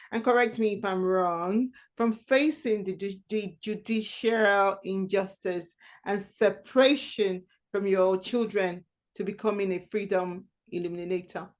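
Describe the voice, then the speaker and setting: Woman podcast host, mid-range voice, effusive and enthusiastic interviewer. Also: mid-range voice. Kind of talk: interview hosting.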